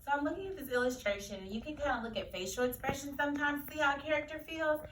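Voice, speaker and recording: A woman's voice: high-pitched, quick pace. Woman teacher in a roomy, echoey recording. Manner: explanatory, repeats key phrases for children. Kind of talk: read-aloud lesson discussion for children. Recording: roomy echo, distant mic, faint noise.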